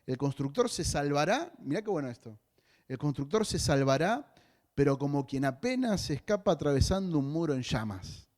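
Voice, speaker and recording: A man's voice: a little high, very animated, moderate pace, darkish tone. A man with a Rioplatense Spanish accent preaching a sermon as a pastor, in a conversational way with joking asides.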